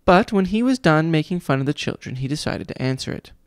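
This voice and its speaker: A man's voice: a little high, expressive, a little fast, smooth, no room sound, clean studio sound. A man reading a children's audiobook aloud as scripted storytelling narration. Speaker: audiobook reader, father reading to his child.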